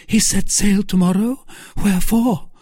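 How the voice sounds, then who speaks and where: A man voicing a passionate, scheming noblewoman who flatters and pleads fervently in a storybook reading. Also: high voice, measured pace, no echo, pitched above the performer's own voice.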